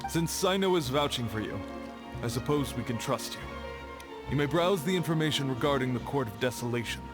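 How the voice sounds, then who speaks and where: A man playing a serious, guarded scholar in a video game, speaking in a measured, formal way. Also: mid-range voice, expressive, over music, lightly acted. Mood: guarded, stern. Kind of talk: video game character acting.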